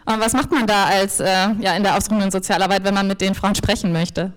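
A woman's voice: mid-range voice, steady tone, fast, soft background music. Hesitant woman interpreting a talk. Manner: hesitant, many fillers and restarts while translating. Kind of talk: consecutive interpretation.

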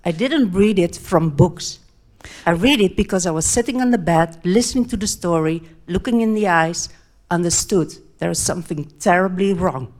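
A middle-aged Dutch woman with a Dutch accent, giving an earnest talk in English in short phrases, pausing for an interpreter. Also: on the low side, a little mellow. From Netherlands. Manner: earnest, pauses often between short phrases. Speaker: speaker.

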